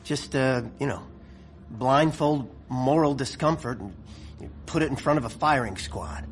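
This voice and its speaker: A man's voice: medium pitch, a little slow, slight background noise, close to an everyday voice. An actor playing a blunt, blustering middle-aged American general in a radio drama, folksy and profane, tripping over his words. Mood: conspiratorial, wry. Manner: stammering, exasperated, profane, folksy.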